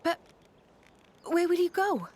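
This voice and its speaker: Quick-tempered little companion girl, high-pitched, outspoken, video game drama. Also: very animated, darkish tone, full character voice. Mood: concerned.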